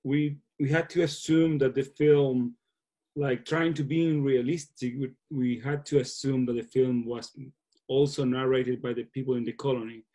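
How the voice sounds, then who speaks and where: Mellow-voiced man, halting English. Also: medium pitch, fairly even intonation. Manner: halting, searching for words. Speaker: filmmaker being interviewed. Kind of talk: casual Q&A on a video call. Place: small room at home. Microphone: laptop video call.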